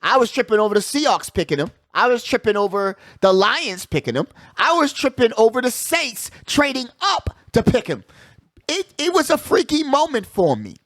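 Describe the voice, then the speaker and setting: A man's voice: extremely high pitch, sing-song, normal speed, a bit bright, dry recording, studio quality. A man hosting a sports show with casual, slangy commentary, hyping his points and repeating phrases for emphasis. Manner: slangy, hype-man delivery with frequent check-in phrases.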